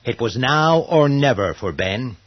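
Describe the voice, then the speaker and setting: A man narrating a horror radio play in a husky voice, theatrical, with deliberate dramatic pauses. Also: medium pitch, lively intonation, no echo, highs cut off.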